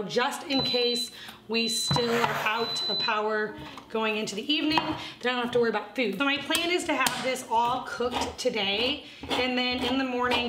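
A woman's voice: on the high side, very noisy. Chatty woman in an echoey kitchen. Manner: chatty, explains her plans step by step. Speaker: home cooking vlogger. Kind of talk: casual talk to camera while cooking. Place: home kitchen, indoors. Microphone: camera on a tripod across the kitchen. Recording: echoey kitchen with loud cooking clatter. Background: pots and pans clattering.

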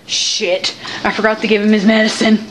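A woman's voice: medium pitch, level tone, normal speed, noisy background. A woman chatting casually and bluntly while cooking in a home kitchen, in character as a cosplayer.

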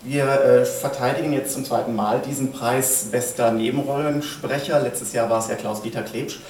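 A man's voice: mid-range voice, steady tone, moderate pace, darkish tone. A middle-aged man giving an acceptance speech at a lectern, recorded from a camera out in the room. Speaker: producer, director and author accepting an award on behalf of an actor. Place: small indoor event stage at a lectern. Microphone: far camera in the audience. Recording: echoey stage room, distant camera, faint hiss.

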